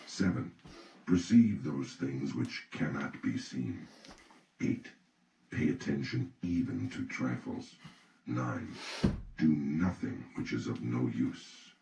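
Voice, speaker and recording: A man reading an audiobook from a script in a solemn, deliberate, instructional way. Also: rumbling low, animated, gravelly, warm tone. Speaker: audiobook reader. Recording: roomy, distant mic, faint noise.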